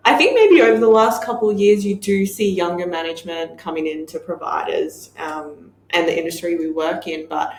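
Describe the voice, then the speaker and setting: A woman's voice: on the low side, moderate pace, creaky, few pauses, a little mellow, slight background noise. A woman co-hosting a casual podcast chat, easygoing and teasing, steering the talk with questions. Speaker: podcast co-host. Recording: echoey room.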